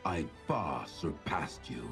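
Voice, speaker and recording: Game villain: menacing ninja master, extremely deep, deliberate delivery, cold contempt. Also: male voice, very animated, creaky, fairly bright tone, faint music behind, full character voice. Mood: cold, taunting. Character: menacing, proud male ninja master of shadow order. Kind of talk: scripted game character voice lines.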